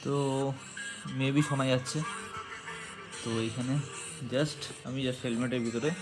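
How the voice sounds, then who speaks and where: A man's voice: mid-range voice, steady tone, a little slow, over music, rough recording. A man giving a casual hands-on tutorial, explaining step by step and speaking directly to viewers. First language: Bengali. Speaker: motovlogger and product reviewer.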